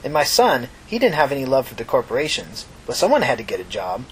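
A man's voice: mid-range voice, huge pitch swings, quick pace, a little hiss or hum, low-quality recording. A man voicing a tired, solemn, talkative old woman with a folksy, earnest manner in a fiction reading. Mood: reminiscing, emphatic.

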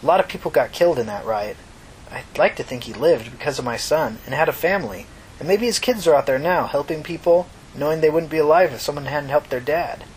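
A man's voice: on the high side, animated, a little fast, a little hiss or hum, natural voice, low-quality recording. A man voicing a kindly, talkative old mother from New York in a story reading, folksy, reflective and reassuring. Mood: sad, reflective. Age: older.

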